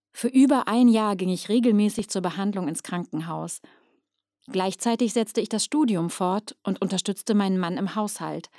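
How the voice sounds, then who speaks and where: A woman's voice: mid-range voice, warm tone, no room sound, studio quality. A woman reading a scripted first-person testimonial as a voice-over narrator, composed and carefully phrased.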